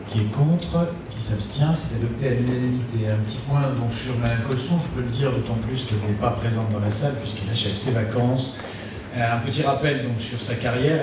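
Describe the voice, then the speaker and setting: A man chairing a town council meeting and making formal remarks. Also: mid-range voice. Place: large indoor council meeting room. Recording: echoey hall from far off, loud noise.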